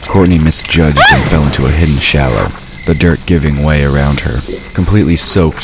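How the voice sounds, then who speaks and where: A man narrating a scripted story as the narrator of an audio drama. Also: rumbling low. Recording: clear background ambient noise. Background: ambient sound effects.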